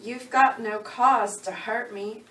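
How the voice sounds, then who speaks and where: A woman's voice: a little high, fairly even intonation, takes it slowly, fairly bright tone. Woman playing a grieving, defiant country widow. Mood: pleading. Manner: folksy rural dialect grammar, pleading. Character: grieving, defiant, plain-spoken rural American widow. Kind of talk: dramatic reading of a novel. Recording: roomy, distant, faint background noise.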